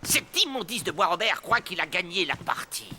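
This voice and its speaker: A man's voice: sits high, big pitch swings, moderate pace, noisy background. A man playing a fiery, indignant newspaper man in a French cartoon, declaiming in a theatrical, emphatic way.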